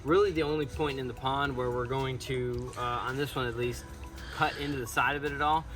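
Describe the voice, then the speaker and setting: A man hosting a casual building vlog, explaining his outdoor job site work step by step in a relaxed, upbeat way. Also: medium pitch, brisk, music in the background. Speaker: pond builder, show host.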